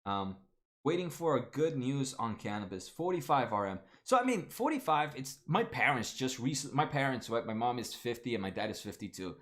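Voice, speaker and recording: A man's voice: mid-range voice, big pitch swings, fairly bright tone. Chatty young man hosting a livestream. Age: 20s. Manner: halting, many fillers and restarts, addresses viewers by name. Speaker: stock market livestream host. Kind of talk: casual livestream chat reading viewer comments.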